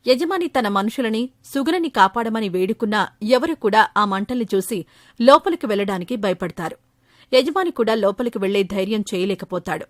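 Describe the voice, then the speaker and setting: A woman's voice: mid-range voice, fairly bright tone, dry recording. A woman narrating a scripted moral story.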